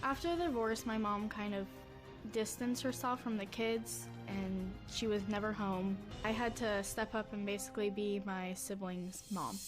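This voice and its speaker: A young woman in a taped segment, quietly recounting hard family times. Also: medium pitch, steady tone, moderate pace, music in the background. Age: young adult.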